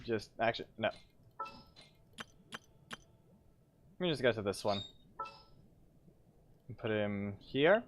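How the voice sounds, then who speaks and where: A man's voice: fairly low pitch, lively intonation, measured pace, dull audio. A man giving casual gameplay commentary as a streamer, in a rambling, self-correcting way with muttered asides. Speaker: game streamer. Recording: clearly noisy stream audio with clicks.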